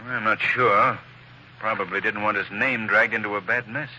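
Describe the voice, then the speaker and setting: A man playing a calm gentleman detective with dry humor in a 1940s radio drama. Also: lowish voice, moderate pace, lo-fi sound, a little hiss or hum.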